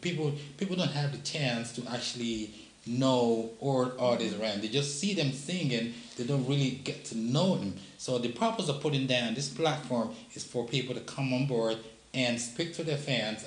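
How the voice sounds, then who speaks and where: A man's voice: mid-range voice, moderate pace. A man with a Nigerian accent hosting an interview show, with an emphatic, repetitive showman delivery. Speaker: TV show host. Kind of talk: live interview presenting. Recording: echoey room.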